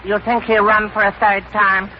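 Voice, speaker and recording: Male actor as comic interpreter servant: high, deferential, broken English, very noisy vintage radio. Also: flat delivery, normal speed, lightly acted. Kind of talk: 1930s radio comedy acting. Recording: muffled, very noisy old radio broadcast.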